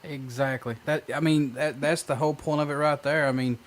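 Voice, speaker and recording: A man's voice: fairly high pitch, fairly even intonation, brisk, crowd noise. A man in his thirties hosting an interview in casual chat, laid-back and chatty. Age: 30s. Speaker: radio show host.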